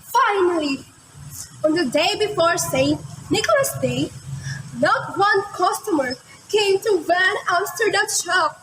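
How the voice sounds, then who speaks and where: A woman reads a children's tale in an extremely high, animated voice. Her pace is slow and scripted, and the recording has clear noise. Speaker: readers theater narrator. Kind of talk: scripted children's story narration.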